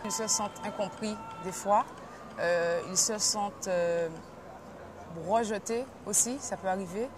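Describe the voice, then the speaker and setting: A woman's voice: medium pitch, measured pace. A woman in her thirties gives an interview in a large exhibition hall. She speaks earnestly and hesitantly, with many fillers, into a lapel mic. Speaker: project manager, interviewee. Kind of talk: on-camera interview. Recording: noisy fair hall. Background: crowd noise of a trade fair.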